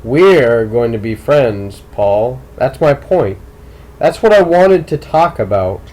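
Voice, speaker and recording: Audiobook role: man as a clever teenage jock, coolly insistent and manipulative, very unhurried delivery. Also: mid-range voice, expressive, darkish tone, rough recording. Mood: quietly insistent. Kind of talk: audiobook character dialogue.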